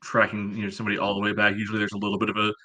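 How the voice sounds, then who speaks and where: Bright-voiced, even-toned man talking soccer. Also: lowish voice. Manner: halting, peppers talk with "you know". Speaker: soccer podcast panelist. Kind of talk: casual sports analysis chat.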